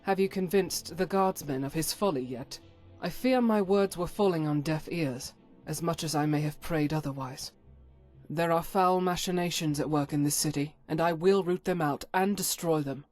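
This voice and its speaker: A woman's voice: sits low, normal speed, darkish tone, lightly acted. Actress doing a righteous stern lady cleric in a game, formal and solemn, some music behind. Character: zealous, stern female cleric, devout and unyielding. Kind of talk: video game voice acting.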